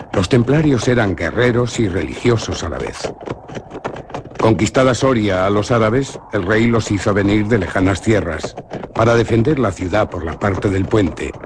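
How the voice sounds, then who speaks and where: An actor voices a young nobleman in a radio drama, earnest and measured as he tells a tale. His voice sits low but moves expressively. Noise hangs clearly behind the recording. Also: natural voice. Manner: grave, measured storytelling.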